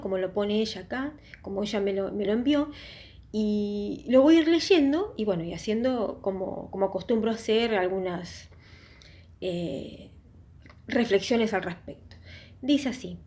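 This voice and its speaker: A woman with a mellow, warm voice speaks straight to her online viewers. She is earnest and reflective, easing into a spiritual talk at her own unhurried pace and pausing to think. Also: on the high side. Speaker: YouTube channel host. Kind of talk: casual religious testimony talk.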